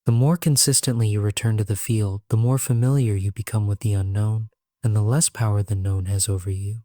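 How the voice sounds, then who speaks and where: A man narrating a scripted guided sleep meditation in a solemn, measured, earnest way. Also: fairly low pitch, steady tone, warm tone, dry recording, studio quality.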